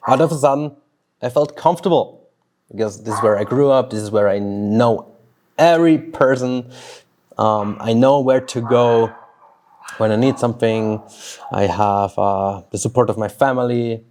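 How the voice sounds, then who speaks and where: A Swiss man in his thirties, a fitness coach whose first language is Swiss German, talking slowly and thoughtfully outdoors in a personal vlog, with pauses and restarts. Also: medium pitch, a little mellow, slight background noise. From Switzerland. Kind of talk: reflective solo vlog monologue. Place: outdoors, birds and dogs nearby.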